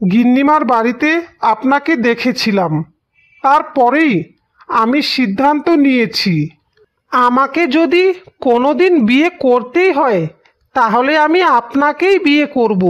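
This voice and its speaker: Woman voicing a high-pitched, earnest village suitor. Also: lo-fi sound. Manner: formal, polite, insistent. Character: earnest, pleading young village gentleman suitor. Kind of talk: cartoon fairy-tale voice acting.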